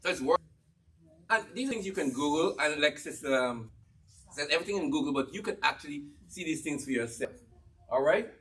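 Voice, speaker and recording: A man from Guyana with a Guyanese accent, giving a lively cookware demonstration talk to an audience, quizzing them and repeating his points. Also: fairly high pitch, brisk, distant mic. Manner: energetic, asks the audience questions and repeats key phrases. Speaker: cookware presenter at a church gathering.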